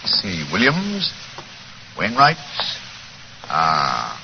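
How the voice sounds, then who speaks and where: A man's voice: low-pitched, huge pitch swings, extremely slow, creaky, full character voice. A man acting a shrewd, urbane English detective in a 1940s radio drama, speaking precisely and theatrically. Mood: wry, intrigued. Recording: noisy, muffled 1940s radio recording.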